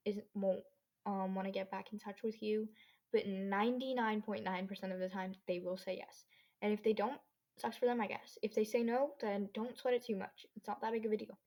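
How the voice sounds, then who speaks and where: A woman's voice: medium pitch, warm tone. Rambling, chatty teenage girl podcaster. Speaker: podcast host. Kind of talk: casual solo podcast chat. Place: own bedroom.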